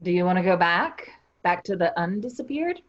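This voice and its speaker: Husky, animated woman acting, dull recording. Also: on the low side, moderate pace. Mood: eager, encouraging. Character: earnest, puzzled invisible sibling, gently encouraging others. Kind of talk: staged play reading. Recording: dull lo-fi sound.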